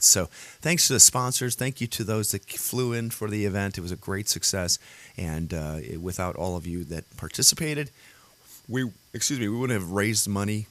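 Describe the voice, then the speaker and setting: A man hosting his own show in casual solo chat, his voice gravelly and worn today, rambling with fillers and restarts. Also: sits low, a bit bright. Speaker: podcast host. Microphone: computer audio setup.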